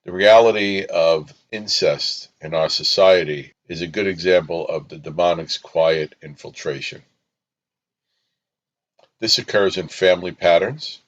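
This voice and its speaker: A man giving scripted spiritual teaching as a podcast host, solemn and deliberate, in short emphatic phrases. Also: deep voice, fairly bright tone, lo-fi sound.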